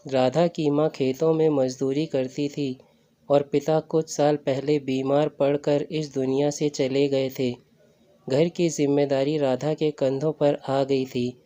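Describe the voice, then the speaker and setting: A man's voice: on the high side, dark tone. A man reading a scripted story as a narrator. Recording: dull lo-fi recording.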